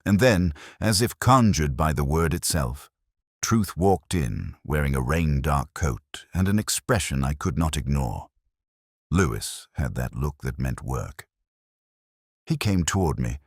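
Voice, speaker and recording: A world-weary, cultured male inspector, played by an actor, narrates his own detective story in an extremely low voice. His delivery is measured and introspective, with melodic rises and falls, in a close, dry sound. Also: no room sound, full character voice. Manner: measured, brooding, reflective. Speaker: first-person narrator of a detective drama. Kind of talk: slow, literary scripted audio-drama narration.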